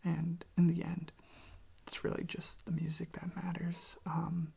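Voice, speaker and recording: Breathy, crackly half-whispered ASMR voice. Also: mid-range voice, a little mellow. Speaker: host of a relaxation channel showing records. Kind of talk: soft-spoken ASMR, casual rambling.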